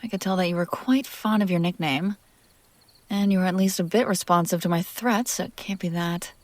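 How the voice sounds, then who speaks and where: A woman playing a possessive, teasing demon in an intimate scripted audio roleplay, speaking theatrically with drawn-out phrases that drop toward whispers. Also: lowish voice, darkish tone, no echo, lightly acted. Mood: puzzled, exasperated.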